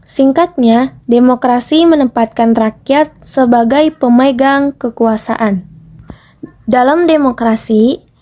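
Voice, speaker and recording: A woman's voice: high voice, mellow. A young woman presenting as a student, reading a scripted explanatory narration.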